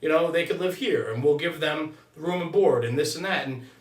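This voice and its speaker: A man's voice: fairly high pitch, fairly quick. A man explaining his opinions conversationally, emphatically punctuating his points with "right?". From United States. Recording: roomy echo, distant from mic.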